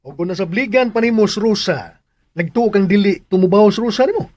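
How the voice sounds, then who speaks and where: Radio drama buddy, higher voice for a man, level-headed, scolding with questions. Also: fairly quick, darkish tone. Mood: chiding. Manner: questioning, admonishing.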